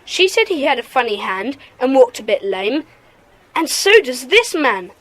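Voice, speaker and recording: A woman playing a brisk, commanding schoolboy leader of a detective gang in a children's audio drama. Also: sits high, very animated, fairly bright tone, exaggerated voice. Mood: urgent, excited.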